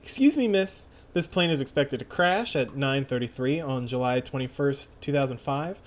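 A man's voice: medium pitch, moderate pace, natural voice. A man voicing a dead female flight attendant, eerily polite, with formal announcement delivery. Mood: polite, eerie.

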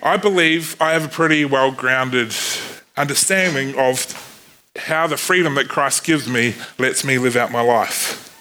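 A man's voice: medium pitch, measured pace, bright, forceful tone, slight background noise. A man in his thirties with a New Zealand accent, preaching a sermon in an earnest way with self-deprecating asides.

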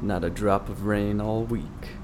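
An actor playing a chatty, folksy gas station attendant in a radio drama, casual and talkative. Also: male voice, lowish voice, steady tone, measured pace, background noise, natural voice. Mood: affable.

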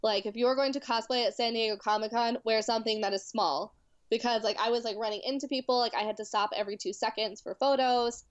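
Young woman, from Louisiana, chatty, lots of filler words, a bit high, slightly dull dry sound. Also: fairly even intonation, quick pace, no echo. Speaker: guest cosplayer. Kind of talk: casual chat.

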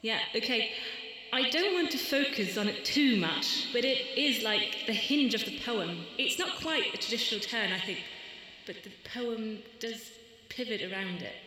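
A woman's voice: fairly high pitch, normal speed, bright, forceful tone. A woman talking as a poet in a thoughtful literary conversation, recorded in an echoey, reverberant hall.

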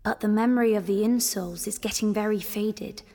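An actress playing a reflective woman narrating her family's past in an audio drama, measured and wistful. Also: medium pitch, fairly even intonation, a little mellow, in a large hall, close to an everyday voice. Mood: wistful storytelling.

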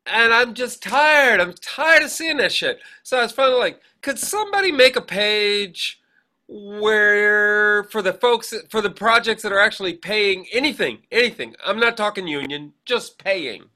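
Bright, higher-pitched American man, chatty host. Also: animated, normal speed. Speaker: podcast host, actor and director. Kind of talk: casual chat.

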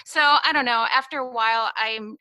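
Chatty bright-voiced woman, dry sound. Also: on the high side, normal speed, no room sound. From Germany. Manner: chatty, rambling, laughs often, many fillers. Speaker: guest. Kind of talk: casual conversational interview.

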